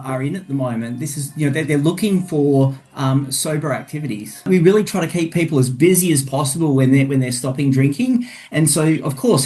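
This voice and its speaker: A man's voice: fairly high pitch, darkish tone. A man, a university lecturer answering interview questions with many hesitations and repeated words.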